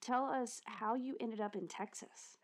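A woman's voice: fairly high pitch, unhurried. Upbeat woman podcast host. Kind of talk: warm podcast interview and introduction.

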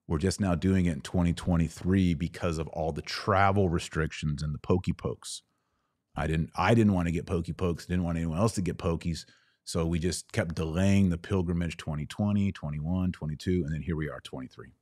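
American guy doing a laid-back livestream q&a, lowish voice, close dry sound, slangy. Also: fairly even intonation, a little fast, darkish tone, no room sound. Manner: informal, playful slang. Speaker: podcast host. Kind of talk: casual livestream chat answering viewer comments.

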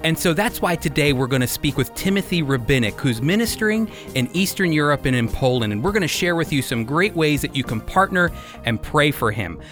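American radio host, higher-pitched male voice. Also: expressive, a little fast, fairly bright tone, faint music behind. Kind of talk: scripted radio presenting and interviewing.

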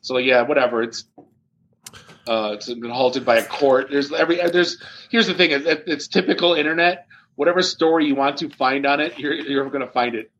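An American man with a medium-pitched voice chats casually as a tech show co-host. He is blunt, sardonic and offhand, with casual swearing. A faint noise sits behind his voice. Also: steady tone, a little fast, a bit bright, lo-fi sound. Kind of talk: casual chat.

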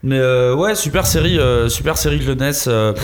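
Emphatic, slangy man in casual discussion. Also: medium pitch. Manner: emphatic, slangy, halting with repeated words. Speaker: panelist reviewing manga. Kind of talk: casual opinionated group discussion.

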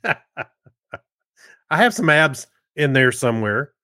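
A man's voice: medium pitch, sing-song, slow, pushed and bright, no echo, clean studio sound. A man co-hosting a podcast in casual, good-humored chat. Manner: laughs readily, good-humored reactions. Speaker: podcast co-host.

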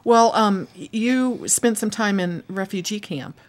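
A woman hosting a radio health talk show, interviewing guests with brisk, short prompts and acknowledgments. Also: medium pitch.

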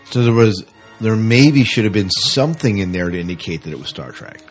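A man's voice: fairly low pitch, animated, brisk, faint music behind. A man hosting a podcast in casual chat, agreeable and prompting his co-host with questions.

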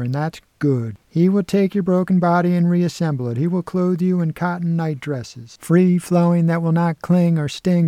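A man's voice: a little high, mellow, no room sound, pitched above the performer's own voice, close to an everyday voice. A man voicing an elderly, pious great-aunt who rambles tenderly in a sing-song, churchy way at a sick child's bedside. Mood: soothing.

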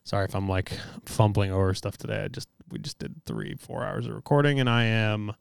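A man's voice: fairly low pitch, brisk, creaky, smooth, no echo, clean studio sound. A man hosting a podcast, talking loosely with lots of fillers and swearing, and tired after a long day. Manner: loose and profane, many fillers. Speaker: podcast host. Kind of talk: casual podcast talk with ad reads.

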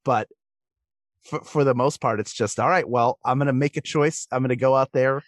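A man's voice: mid-range voice, steady tone, normal speed, no room sound, clean studio sound. A man giving thoughtful, explanatory answers as a performer guesting in a conversational interview. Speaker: podcast guest, comedic stage and voice performer.

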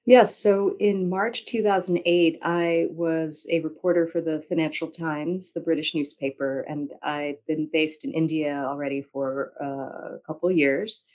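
Woman, American accent, interview guest, conversational storytelling, measured delivery, frequent pauses, dull lo-fi sound. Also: deep voice, fairly even intonation, darkish tone. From United States. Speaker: interview guest, journalist and author. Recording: dull, lo-fi audio.

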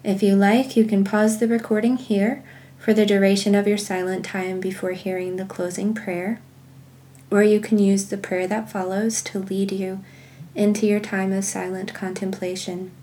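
A woman leading guided contemplative prayer and reading scripture in a measured, meditative manner with pauses. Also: medium pitch, level tone, warm tone, a little hiss or hum. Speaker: guided prayer podcast host.

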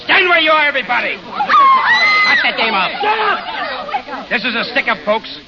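A man's voice: very high-pitched, huge pitch swings, a little slow, crowd noise, heavily acted. An actor playing a brash, trigger-happy gangster henchman with a tough, slangy bark, in 1930s radio style. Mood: barking, threatening. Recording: muffled, hissy 1930s radio recording.

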